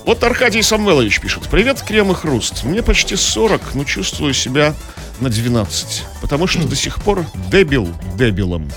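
A man's voice: on the high side, very animated, moderate pace, a bit bright. A man co-hosting a radio show with casual comic banter, playful and offhand in delivery. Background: music.

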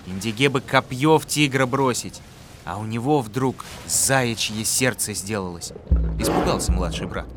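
A man narrating a folk tale in a calm, rhythmic storytelling voice. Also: on the low side, normal speed. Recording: clear music behind.

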